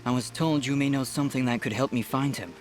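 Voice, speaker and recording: A man's voice: medium pitch, fast, natural voice. A man playing an earnest young hooded warrior. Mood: determined. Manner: earnest, direct. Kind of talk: video game voice acting. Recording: music and rain behind.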